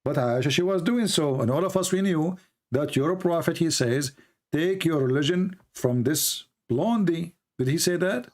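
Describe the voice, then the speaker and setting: A man hosting a heated religious debate, cutting in and pressing his points with rapid rhetorical questions. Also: fairly high pitch. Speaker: debate host.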